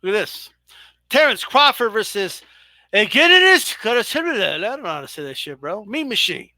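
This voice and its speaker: A man's voice: high-pitched, sharp tone, dry recording. Young male stream host, dry close sound, loose profane banter with chat. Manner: profane, chatty, banters with viewers. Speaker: boxing live-stream host. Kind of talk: casual live commentary to chat.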